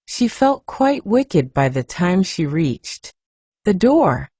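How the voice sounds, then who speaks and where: Soft, slow-reading woman. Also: lowish voice, sing-song, husky, dry recording, clean studio sound. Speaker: audiobook reader. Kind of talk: bedtime story narration.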